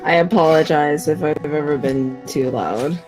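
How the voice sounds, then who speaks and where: A woman streamer chatting casually with co-streamers, quick and animated, with lots of fillers. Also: low-pitched, music in the background, rough recording.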